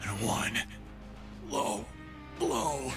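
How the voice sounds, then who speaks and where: A man's voice: mid-range voice, wildly swooping pitch, very slow, creaky, over music, heavily acted. An actor playing a cocky, tough male pit boss, voice lines for a video game with streetwise trash talk. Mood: cocky taunting.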